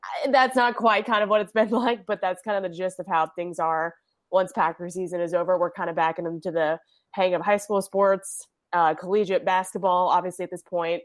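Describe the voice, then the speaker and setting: A young woman sports reporter with a husky voice from a cold, chatting casually as a podcast guest, laughing often and restarting her sentences. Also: on the low side, fairly even intonation, normal speed, no echo. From Indiana.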